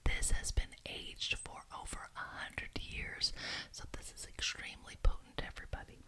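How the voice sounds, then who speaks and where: Whispering woman in a witch ASMR roleplay. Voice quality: breathy unvoiced whisper. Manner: deliberate, repeats words for emphasis. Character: genteel, officious witch chairing a neighborhood meeting.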